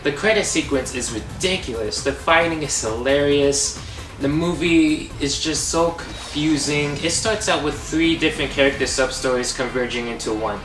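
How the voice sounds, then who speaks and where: A young man hosts a comedic movie review in a sarcastic, exasperated voice of middling pitch. He sounds distant and echoey, with clear guitar music under him. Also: normal speed. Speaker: host.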